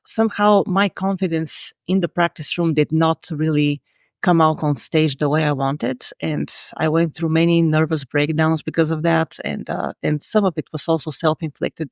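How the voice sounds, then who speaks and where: Female guest, 40s, Bulgarian accent, gravelly texture, earnest and self-critical storyteller. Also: deep voice, dry recording. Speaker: podcast guest. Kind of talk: reflective interview answers.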